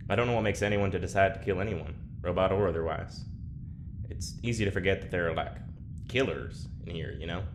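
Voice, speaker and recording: Very deep, deadpan man playing an awkward inmate. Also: lightly acted. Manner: deadpan, hesitant, rambling. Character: awkward, dryly joking, anxious male prison inmate. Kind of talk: naturalistic audio drama acting. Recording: clearly noisy ambient background.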